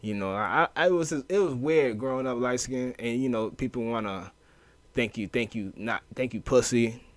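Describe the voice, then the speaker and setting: A man talks loosely on a podcast, full of fillers and swearing, getting animated as he retells his past. His voice sits at a middle pitch. It is recorded dry and close, with no room echo. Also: moderate pace. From US inner city, moved to Louisiana. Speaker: podcast guest. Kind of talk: casual chat.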